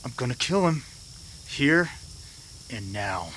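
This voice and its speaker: A man playing a vengeful Old West gunman in a radio drama, seething, menacing and deliberate. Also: medium pitch, big pitch swings, a bit bright, a little hiss or hum. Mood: seething rage. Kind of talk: old-time western radio drama acting.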